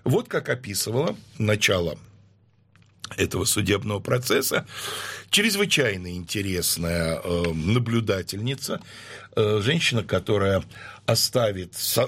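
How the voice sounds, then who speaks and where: Husky man explaining history at length. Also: fairly low pitch, a bit bright. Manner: measured, lecturing with frequent hesitations. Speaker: guest historian. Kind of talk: explanatory interview talk.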